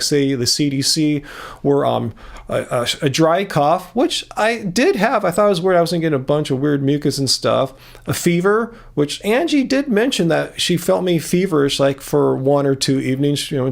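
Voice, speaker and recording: A man's voice: on the high side, creaky. An American man vlogging to camera, explaining things in an earnest, casual way with frequent restarts. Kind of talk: casual vlog talk to camera.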